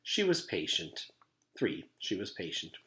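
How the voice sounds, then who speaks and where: A man's voice: sits low, big pitch swings, unhurried, pushed and bright. A man narrating a children's book aloud as a teacher reading to his class. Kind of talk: storybook read-aloud narration.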